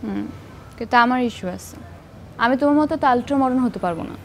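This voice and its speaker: High-pitched, chiding young Bangladeshi girlfriend woman acting. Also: quick pace, a little hiss or hum, close to an everyday voice. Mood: sceptical, firm. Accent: Bangladeshi Bengali. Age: young adult. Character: sceptical, teasing young girlfriend who stands firm. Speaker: actor. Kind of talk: naturalistic TV drama dialogue.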